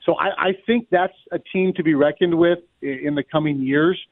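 A man's voice: a little high, fairly quick. A middle-aged man giving conversational, wryly humorous sports analysis as a play-by-play announcer guesting on a radio show. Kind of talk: unscripted sports analysis in a live radio interview. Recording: roomy, thin narrow-band phone line.

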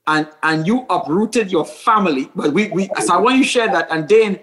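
Lively high-voiced pastor hosting. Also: male voice, brisk. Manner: animated storytelling, stammering restarts. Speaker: pastor and host. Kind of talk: casual faith discussion.

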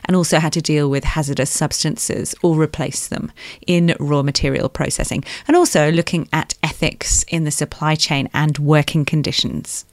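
A woman with an Australian accent hosting a podcast in a bright, chatty way. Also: sits low, steady tone, moderate pace, dry recording, clean studio sound. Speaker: podcast host.

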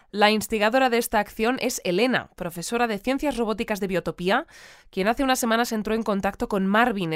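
An actress playing a composed, articulate radio news interviewer in an audio drama. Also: female voice, on the high side, clean tone, no room sound, clean studio sound, natural voice. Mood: engaged, informative. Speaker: radio presenter.

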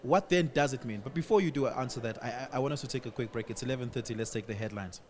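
A male radio host leads a live discussion. He speaks in a reflective way, stacking examples into long and probing questions. His pitch sits in the middle range for a man. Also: rapid-fire, slight background noise. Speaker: radio talk show host.

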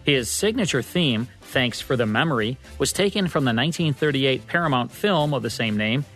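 A man's voice: mid-range voice, expressive, moderate pace, a bit bright, faint music behind. A man with an American accent co-hosting and reading scripted narration about radio history. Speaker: radio show co-host.

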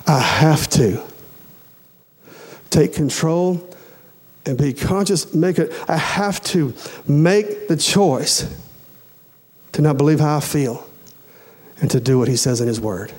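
A man's voice: a little high, animated, slow pace, long pauses, a little mellow, breathy edge. A man in his forties preaching a sermon in a folksy, emphatic way, repeating phrases and addressing the congregation directly. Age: 40s. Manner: addresses listeners directly with questions and urgings.

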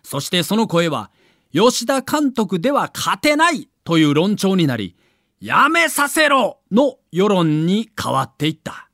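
A man's voice: sits high, sing-song, a little slow, fairly bright tone, no room sound, studio quality. A man reading scripted audio documentary narration in a dramatic, emphatic storytelling manner.

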